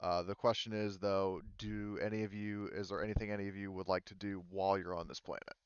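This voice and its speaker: Muffled, smooth low-voiced man running a game. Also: level tone, dry recording. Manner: rambling, halting explanations. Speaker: game master. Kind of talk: casual tabletop game talk.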